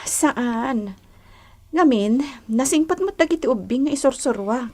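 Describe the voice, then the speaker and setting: High-pitched sweet young Filipino drama heroine. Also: female voice, expressive, a little slow, warm tone, exaggerated voice. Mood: gentle. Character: Rose, sweet grateful young female volunteer teacher. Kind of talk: radio drama acting in Ilocano.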